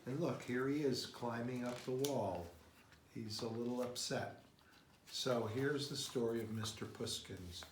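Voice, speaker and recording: Guy reading a kids storybook out loud, takes his time, medium pitch, echoey room. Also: measured pace, slight background noise. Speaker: storybook reader. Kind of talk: expressive read-aloud children's storytelling. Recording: roomy, echoing sound.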